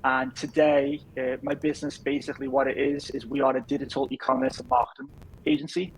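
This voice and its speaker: A man in his thirties from England with a softened Liverpool accent, chatting easily as a podcast guest with lots of fillers. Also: medium pitch, a little fast, creaky, a little hiss or hum, rough recording. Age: 30s. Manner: easygoing, rambling with many fillers. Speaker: podcast guest, business founder. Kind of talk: casual interview chat.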